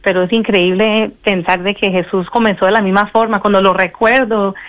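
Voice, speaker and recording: A young woman from the United States with a slight Antioquia Colombian accent, speaking politely and warmly as a guest on a radio interview. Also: medium pitch, flat delivery, normal speed, no room sound. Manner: polite, warm, devout. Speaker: guest singer.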